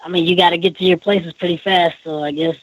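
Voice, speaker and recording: A man's voice: high voice, little pitch movement, fast, no echo, rough recording. A man chatting casually and a little hesitantly as a radio interview guest. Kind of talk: casual chat.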